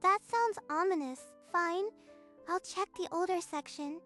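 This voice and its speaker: A woman's voice: very high voice, unhurried, sharp tone, faint music behind, full character voice. A woman voicing a caring, stubborn young ninja student girl, earnest and spirited, in a manga dub. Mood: curious, teasing.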